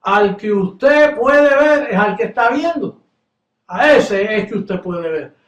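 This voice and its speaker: A man from Puerto Rico with a Puerto Rican Spanish accent, preaching fervently and then chatting relaxed as he closes. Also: high-pitched, slow pace, echoey room, lo-fi sound. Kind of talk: sermon closing and farewell.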